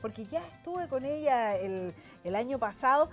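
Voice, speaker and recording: A woman's voice: a little high, expressive, moderate pace, a bit bright, faint music behind. A woman with a Chilean accent hosting a chatty television interview in a studio, speaking in an effusive, exclaiming way. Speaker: television host. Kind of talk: chatty talk show interviewing.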